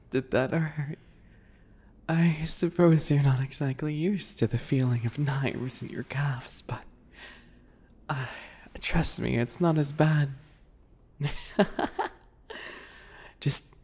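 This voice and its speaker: A young man playing a sadistic, obsessive bunny boy in a whispery ASMR roleplay, soft and breathy, taunting with false sweetness. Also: mid-range voice.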